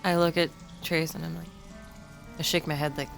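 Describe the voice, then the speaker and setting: A woman's voice: low voice, level tone, normal speed, gravelly, soft background music. A woman speaking casually as a player in a tabletop roleplaying game.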